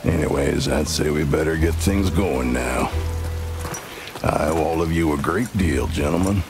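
An actor playing a courteous, cautious older cowboy lawman in a video game, with measured, polite speech. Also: a bass voice, gravelly, over music, full character voice. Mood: courteous, grateful. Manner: measured, polite Old West talk. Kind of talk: video game cutscene acting.

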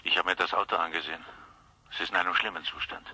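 An actor playing a brisk man heard over a line in a German crime radio drama. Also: deep voice, fairly even intonation, sharp tone, slight background noise, heavily acted.

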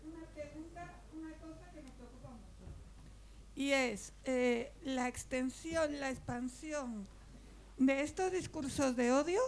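The woman who stands up at question time in a public debate, high-voiced, slow and halting as she explains her concern. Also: darkish tone, slight background noise, rough recording. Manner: halting, pausing between phrases. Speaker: audience member, secondary school teacher. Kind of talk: hesitant spontaneous question from the audience. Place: audience in an event tent.

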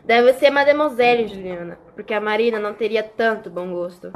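A woman playing a catty high-society dinner guest in an amateur radio drama. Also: a little fast, slight background noise, natural voice.

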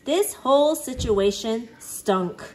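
A woman's voice: on the high side, lively intonation, slow, darkish tone, slight background noise, low-quality recording. A woman reading a children's picture book aloud expressively, acting out exclamations and sound effects. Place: living room at home.

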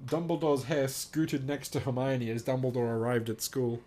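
A man's voice: medium pitch, moderate pace, darkish tone. A young man reading a text aloud and adding casual, joking, sweary commentary in an amused way. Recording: noticeable background noise.

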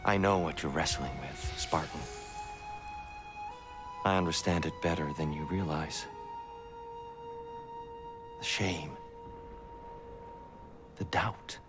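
A man playing a calm, wise warrior-god mentor in a video game, measured and knowing. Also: a bass voice, music in the background. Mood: calm, empathetic. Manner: measured, deliberate. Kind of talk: video game cutscene acting.

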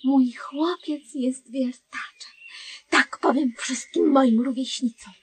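A woman's voice: high-pitched, slow, breathy edge, exaggerated voice. An actress playing a naive, meek and devoted woman, gushing and hesitant, in a comedy radio play. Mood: adoring, gushing. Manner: gushing, hesitant, sentimental, deferential.

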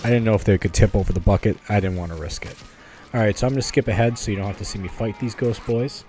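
A man's voice: on the low side, fast, dark tone, faint music behind. A man giving a casual video game walkthrough in an informal, slightly hesitant, conversational way. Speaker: video game guide host. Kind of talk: casual gameplay walkthrough commentary.

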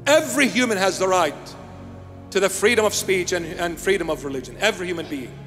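A man's voice: sits high, fairly quick, fairly bright tone, big-room sound, soft background music. An older man, born in Iraq, preaching an emphatic sermon into a handheld microphone in a small church, repeating key phrases. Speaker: bishop. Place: small church with altar and candles.

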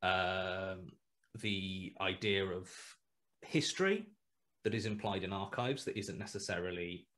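A man in his thirties muses through a headset on a video call, his lowish voice circling back on itself with fillers and restarts. He picks his words slowly as he thinks aloud. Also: fairly bright tone. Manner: rambling, hesitant, many restarts and fillers. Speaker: guest writer. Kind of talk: casual video call interview. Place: small room at home.

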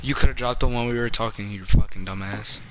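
A man's voice: lowish voice, fairly even intonation, a little fast, heavy background noise. A teenage boy streaming a shooter game, chatting casually with friends in a sarcastic, teasing way and swearing often. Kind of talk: casual gaming chat. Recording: loud game music behind.

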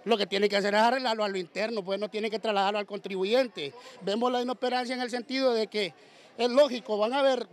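Very high-pitched emphatic man. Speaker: taxpayer interviewed. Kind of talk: spontaneous street interview. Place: outdoors on a street. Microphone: handheld news microphone.

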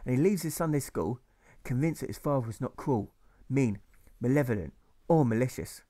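A man's voice: medium pitch, lively intonation, normal speed, warm tone. A man, the author, reading his own rhyming novel aloud as audiobook narration, with a rhythmic delivery that leans on the rhymes.

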